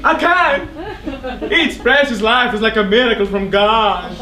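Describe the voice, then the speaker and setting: A man playing a high-voiced, coaxing messenger. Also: slow pace, a crowd in the background. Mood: insistent, theatrical. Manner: theatrical, coaxing. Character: jovial, coaxing old-friend messenger, insistent. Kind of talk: stage tragedy acting. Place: indoor theatre stage. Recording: echoey theatre, distant mic, audience noise.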